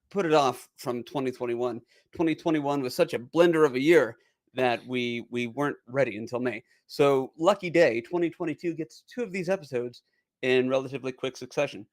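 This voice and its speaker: A man's voice: mid-range voice, moderate pace. A man hosting a gaming podcast with casual, jokey banter and mock-grand flourishes. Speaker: podcast host.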